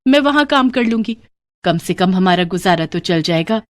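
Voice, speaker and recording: A woman's voice: mid-range voice, animated, normal speed, no room sound, natural voice. A woman voicing a humble, hopeful young maid who speaks respectfully. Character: hardworking cheerful young maid.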